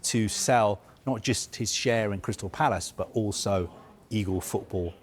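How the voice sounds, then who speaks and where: A man reporting football news, explaining a story in a measured, careful way with frequent fillers. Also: fairly low pitch. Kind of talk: live news discussion.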